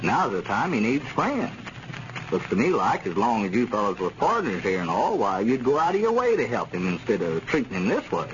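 A plain-spoken small-town peacemaker in an old radio comedy. His voice swings widely in pitch as he reasons. The sound is muffled, with faint noise. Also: sing-song, brisk. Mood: earnest, reasoning. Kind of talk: 1930s radio comedy acting. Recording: scratchy, muffled 1930s radio recording.

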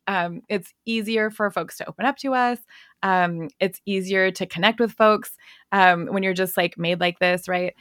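A woman guest on a podcast, chatting casually in a bubbly, energetic way, often laughing, with a voice others find relaxing. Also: medium pitch, no room sound, studio quality. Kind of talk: casual interview chat.

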